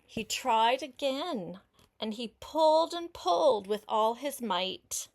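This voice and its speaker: An older woman reading a picture book aloud to a child like a grandmother, unhurried and expressive, pausing to explain words and ask questions. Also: on the high side. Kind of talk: storybook read-aloud with chatty asides to a young listener.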